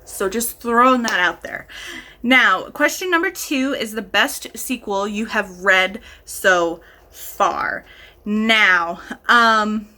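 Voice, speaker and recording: A woman's voice: mid-range voice, slow pace, pushed and bright. A woman chatting casually as a vlogger and reviewer, gushing with enthusiasm and leaning hard on emphatic words. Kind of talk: casual chat to camera.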